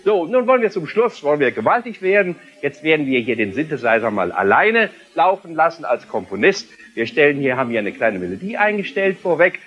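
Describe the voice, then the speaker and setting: A man's voice: on the high side, very animated, a little fast, few pauses, a bit bright, slightly rough. A man in his sixties from Germany presenting a TV demonstration of electronic music equipment, explaining with a showman's emphasis. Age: 60s. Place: indoor electronic music studio. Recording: dull lo-fi 1970s television tape.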